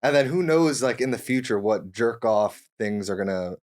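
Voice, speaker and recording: Man chatting casually, pitch swinging widely. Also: animated, normal speed, darkish tone. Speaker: podcast participant. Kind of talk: casual chat.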